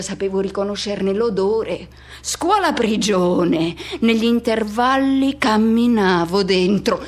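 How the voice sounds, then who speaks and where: An actress playing a man who recalls his first teenage love in a radio theatre monologue, in fragmented, repetitive, poetic phrases. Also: fairly high pitch. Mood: tense, remembering.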